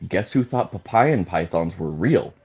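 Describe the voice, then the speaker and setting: Male guest, very deep and husky, blunt, dry humor, dull lo-fi call audio. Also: expressive, unhurried, a little mellow. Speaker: podcast guest. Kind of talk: casual banter among reptile keepers.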